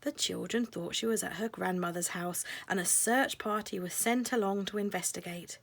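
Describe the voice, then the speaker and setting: A woman narrating a children's storybook in a measured, expressive way, letting phrases end softly. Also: mid-range voice, creaky.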